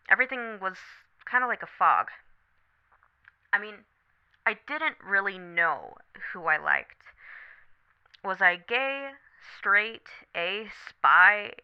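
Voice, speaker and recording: A woman playing a supportive, candid best friend on a late-night call in an ASMR roleplay, talking casually, gently and thoughtfully. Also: mid-range voice, clear, resonant tone, muffled recording. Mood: reflective, vulnerable. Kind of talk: scripted ASMR roleplay, one side of a phone conversation.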